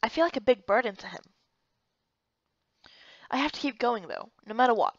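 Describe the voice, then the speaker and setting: A woman reading scripted first-person story narration in an expressive, dramatized manner. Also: on the high side, normal speed, no echo, lo-fi sound. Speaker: narrator and reader of a visual novel.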